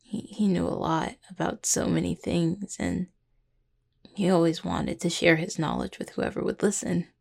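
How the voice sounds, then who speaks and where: A woman playing a grieving, tender young necromancer in an intimate audio roleplay, speaking haltingly and apologetically to a partner. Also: fairly low pitch, very animated, vocal fry, darkish tone, full character voice. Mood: grieving, wistful.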